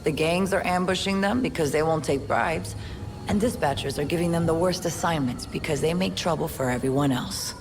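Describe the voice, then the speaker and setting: An actress playing a weary, loyal policewoman in a video game, with a gravelly voice and dry, streetwise, plain-spoken delivery. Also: sits low, brisk. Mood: earnest, frustrated. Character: weary, world-worn female police officer, wry and loyal. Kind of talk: video game cutscene acting. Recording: music behind the voice. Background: music.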